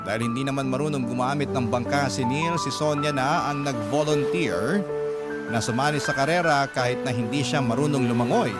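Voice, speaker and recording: A man acts a grown Filipino man confessing youthful regrets in first-person narration. The voice is medium in pitch and reflective, with loud music beneath it throughout. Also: close to an everyday voice.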